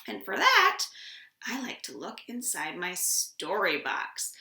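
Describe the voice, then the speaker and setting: A woman hosting a storytime for young children, speaking playfully and simply in an animated way, recorded indoors at home. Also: mid-range voice, takes it slowly, gravelly. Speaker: children's storytime host at a library. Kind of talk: children's storytime with songs and rhymes. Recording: roomy, echoing home recording.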